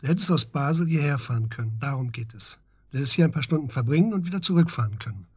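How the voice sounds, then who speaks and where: Stern, husky male investigator. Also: medium pitch, animated, fast, darkish tone, dry recording, clean studio sound. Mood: insistent, businesslike. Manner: matter-of-fact, insistent, clipped questioning. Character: stern, methodical male investigator coaching a suspected friend. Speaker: actor in a radio drama. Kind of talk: naturalistic German radio drama acting.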